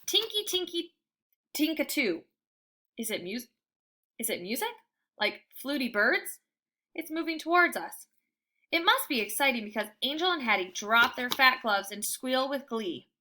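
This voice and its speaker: A woman reading a children's book aloud as storyteller, with expressive, dramatic and deliberate phrasing for young listeners. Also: sits high, a little hiss or hum.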